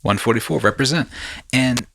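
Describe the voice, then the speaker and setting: A man's voice: mid-range voice, moderate pace, vocal fry, fairly bright tone, no room sound. A man hosting a podcast, talking in a casual, rambling storytelling way with slangy asides. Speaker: podcast host.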